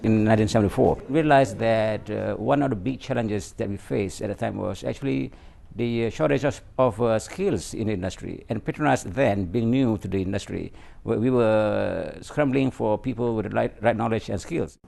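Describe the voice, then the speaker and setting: A low-voiced man with a heavy gravelly fry answers interview questions about his company. His tone is dark and mellow, and he halts often and repeats words. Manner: halting, with repeated words and fillers. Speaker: company executive.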